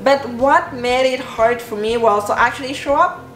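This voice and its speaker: A young woman speaks earnestly and encouragingly to camera, her voice a little high. She talks in a bedroom that sounds roomy and echoey, with the camera at medium distance. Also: normal speed, fairly bright tone. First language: French. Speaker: style coach and YouTuber. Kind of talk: motivational vlog talk to camera. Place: bedroom with clothes rack and shelves, indoors.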